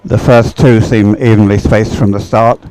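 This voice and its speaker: A man giving live, unscripted sports commentary in a halting way, thinking aloud as he watches. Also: on the low side, steady tone, warm tone, dry recording, low-quality recording.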